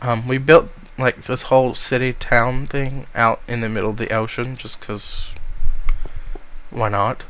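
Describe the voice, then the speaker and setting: A man with a cold giving a casual, rambling tour of a game world, speaking softly to spare his voice. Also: mid-range voice, level tone, measured pace, smooth, rough recording. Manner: rambling, many ums, soft-spoken to spare his voice. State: has a cold. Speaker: gamer giving a server tour.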